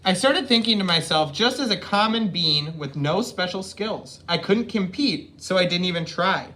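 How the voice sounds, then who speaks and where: Animated storytime reader with dramatic pauses. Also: mid-range voice. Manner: dramatic pauses, drawn-out words for emphasis. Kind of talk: expressive children's picture book read-aloud.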